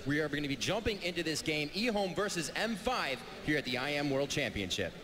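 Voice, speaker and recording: A man's voice: fairly high pitch, big pitch swings, brisk. A man co-casting an esports match live, upbeat and building hype. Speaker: esports co-caster. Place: large event hall with live audience. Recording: noisy event hall.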